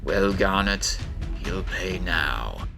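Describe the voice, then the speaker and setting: A man's voice: sits low, expressive, extremely slow, gravelly, over music, exaggerated voice. A man playing a brooding supervillain in an audio drama, with a commanding, menacing manner. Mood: cold menace. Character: brooding male supervillain at his computer console.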